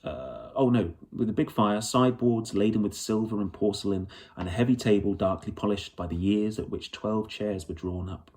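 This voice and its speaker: A man's voice: on the low side, normal speed, few pauses, darkish tone. A man reading a children's novel aloud as its narrator. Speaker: audiobook reader.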